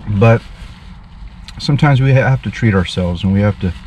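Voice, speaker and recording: A man's voice: on the low side, darkish tone, a little hiss or hum. A man in his thirties, a street preacher, talking earnestly and a bit formally to a camera from his parked car. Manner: slow, earnest, pious, slightly formal. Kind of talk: casual vlog with preaching asides. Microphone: dash camera in front of him.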